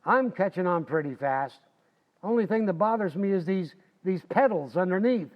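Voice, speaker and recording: A man's voice: high-pitched, lively intonation, normal speed. A man playing a boastful, folksy, wisecracking husband in the style of old-time radio comedy. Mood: cocky, breezy. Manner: folksy, cocky, joking. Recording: dull lo-fi, reverberant hall.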